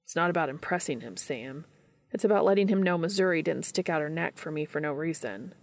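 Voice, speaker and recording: Gruff, low, defensive guy, voiced by a woman. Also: brisk, no room sound. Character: gruff, defensive adult man, sarcastic older brother.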